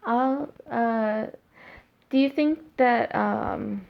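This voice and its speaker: A woman interviewer asking hesitant, halting questions and murmuring agreement. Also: a little high, gravelly, dull audio.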